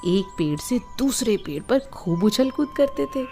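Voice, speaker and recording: A woman with an Indian accent telling a moral story to children in an animated, teacherly way, explaining words and asking listeners questions. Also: fairly high pitch, measured pace. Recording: music behind the voice.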